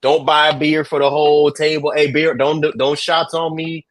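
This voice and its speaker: A man's voice: on the high side, level tone, normal speed, no room sound. A man guest giving travel safety advice in a casual chat, earnest, repeating himself and chuckling at his own stories.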